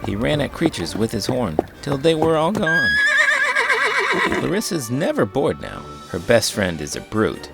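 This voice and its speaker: A man reading a children's picture book aloud in a theatrical, expressive way. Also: medium pitch, measured pace, gravelly, loud music behind the voice. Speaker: host, children's book reader. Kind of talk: animated storybook read-aloud narration.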